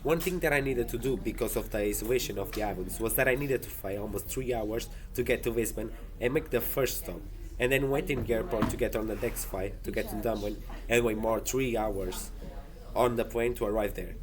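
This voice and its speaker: A man's voice: lowish voice, a little fast. A man from the Azores, speaking in English as a guest. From Azores, Portugal. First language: Portuguese.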